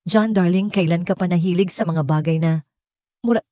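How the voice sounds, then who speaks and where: A woman's voice: on the low side, warm tone, dry recording, studio quality, close to an everyday voice. A woman voicing a haughty, jealous rich woman in an audio story, sweetly condescending, with a Filipino accent. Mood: scornful. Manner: sweetly condescending, drawn-out emphasis.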